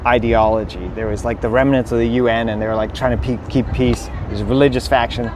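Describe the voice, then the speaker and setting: A Danish-born man with an American accent tells stories eagerly, rambling and full of fillers. His voice sits at a middling pitch for a man. He was recorded outdoors at a busy pier, with traffic clearly audible behind him. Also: steady tone, fast, a little mellow. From Denmark. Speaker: podcast guest. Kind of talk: casual conversation. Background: city traffic.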